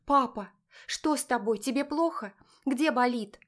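A woman's voice: a little high, moderate pace, darkish tone, voice pushed up, natural voice. A woman voicing an emotional, pleading teenage daughter with breathless exclamations in an audiobook drama. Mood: alarmed.

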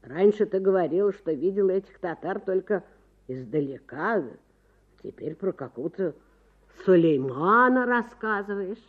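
A woman plays an exasperated, doubting husband in a literary story. The voice drops well down and turns husky, grumbling and stammering over repeated words. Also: on the low side, expressive, a little slow, narrow, tinny audio, pitched below the performer's own voice. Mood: puzzled. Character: doubting, mumbling, exasperated middle-class husband.

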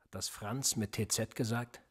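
A man's voice: lowish voice, fairly even intonation, moderate pace, husky. An actor playing a police interrogator in a radio play, pressing and sarcastic as he questions a suspect. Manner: pressing, sarcastic, quietly needling. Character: probing male cop, middle-aged, cold and persistent.

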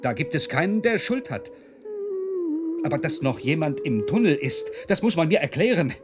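A man's voice: on the high side, big pitch swings, moderate pace, over loud music. An actor playing a dogged, irritable male investigator who questions a suspect insistently in a German science-fiction radio drama.

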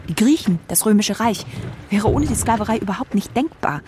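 An actress playing an emphatic, rhetorical lecturer in a scripted theatrical satire. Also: female voice, medium pitch, lively intonation, a little fast, lightly acted. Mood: confident, boastful. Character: brisk female lecturer praising empire, in satirical revue. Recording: clearly noisy, with clicks.

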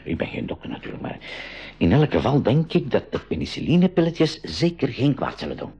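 Worried professor uncle in an 80s radio play, hesitant, repeats himself, voice goes up and down a lot. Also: male voice, medium pitch, wildly swooping pitch, a little fast, creaky, mellow, breathy edge, lo-fi sound, full character voice. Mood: concerned. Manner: hesitant, doubting, repeats words. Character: Uncle January, cautious worried professor and expedition leader. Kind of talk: 1980s school radio drama acting.

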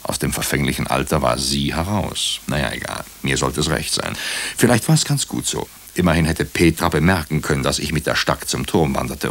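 A man's voice: extremely low pitch, a bit bright, full character voice. A man acting a doctor turned amateur sleuth in a radio drama, speaking with dry irony. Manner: dry, wry, ironic. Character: male doctor, wry amateur sleuth, narrating his own story. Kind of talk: radio drama dialogue and first-person narration.

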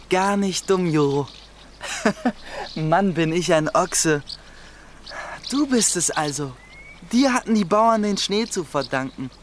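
A man's voice: high-pitched, expressive, slow, long pauses, slight background noise. A male actor playing a bold, trusting young miller's apprentice in an earnest, impulsive radio play style. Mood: amused astonishment. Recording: music loud behind the voice.

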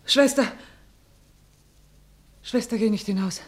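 An actress playing a cautious, fearful sister who recounts wartime terror in declamatory rhymed verse for a radio drama. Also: female voice, a little high, takes it slowly, husky. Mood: agitated. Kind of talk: theatrical verse radio drama, narrating and in dialogue.

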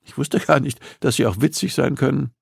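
A man voicing a female police psychologist who is polite, persistent and gently probing. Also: medium pitch, fairly quick, vocal fry, breathy edge, dry recording, clean studio sound, pitched above the performer's own voice. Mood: polite, businesslike.

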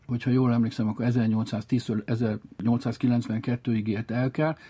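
A man with a slightly husky voice, explaining and telling history as an expert guest. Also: mid-range voice, mellow. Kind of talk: explanatory storytelling about history.

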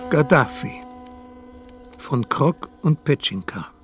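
Slow, formal male announcer. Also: mid-range voice, very animated. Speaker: credits announcer. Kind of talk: radio credits announcement.

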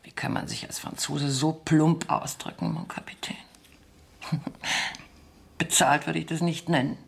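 Coquettish, mocking exotic dancer. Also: female voice, low voice, steady tone, a little slow. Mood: haughty. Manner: theatrical, coquettish, ironic, drops into breathy murmurs. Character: seductive, mocking exotic dancer accused of espionage. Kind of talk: German radio play acting.

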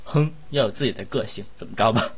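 A man's voice: fairly low pitch, fast, husky. Male voice actor as chat host, playful and bantering, casual delivery. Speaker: voice actor hosting a cast Q&A. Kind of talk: casual group chat, reading out questions.

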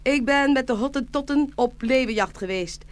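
A man's voice: extremely high pitch, moderate pace. A man playing the mischievous, teasing South Wind, an unruly son, in a children's fairy-tale radio play. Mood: cheeky, boastful.

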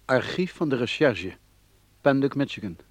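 An actor in a radio play playing a terse announcer who gives dates and letterheads. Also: male voice, mid-range voice, sing-song, moderate pace. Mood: neutral.

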